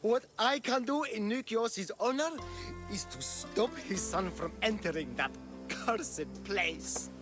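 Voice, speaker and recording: Brief: broken old caretaker, slow, halting and mournful, slightly high voice, game music behind. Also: male voice. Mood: grieving. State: shaken after torture. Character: broken old male caretaker, guilt-ridden and fearful. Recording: orchestral game score underneath.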